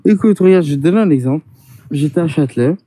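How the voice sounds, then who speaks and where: A man's voice: fairly high pitch, animated, fast, mellow, breathy edge. A man from Paris, arguing insistently in a heated casual debate and talking over the others.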